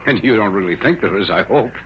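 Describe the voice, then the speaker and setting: An actor playing an affable but fussy fishing buddy in a vintage radio drama, chatty, coaxing and easily exasperated. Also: male voice, on the low side, lively intonation, a little fast, close to an everyday voice. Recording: dull vintage radio recording, faint noise.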